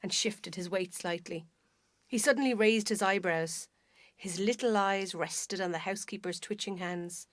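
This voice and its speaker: A woman with an Irish accent narrating a literary short story in a measured, expressive storytelling manner. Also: medium pitch, no echo. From Ireland. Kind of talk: scripted dramatic literary narration.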